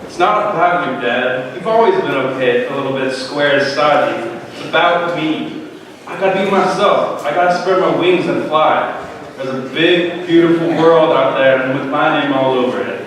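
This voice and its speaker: A man plays a restless, defiant young son with a fairly high voice and a dismissive, sarcastic edge. It is stage drama, heard at a distance in a heavily echoing hall. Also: normal speed, a bit bright, close to an everyday voice. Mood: restless, brash. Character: impatient, insolent, rebellious younger son. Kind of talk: dramatic reading of a parable. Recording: echoey hall, distant mic, faint noise.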